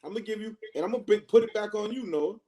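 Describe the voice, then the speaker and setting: A man's voice: high voice, rapid-fire, warm tone. A man in a casual debate who argues firmly and acts out examples to make his point. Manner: firm, acts out quotes.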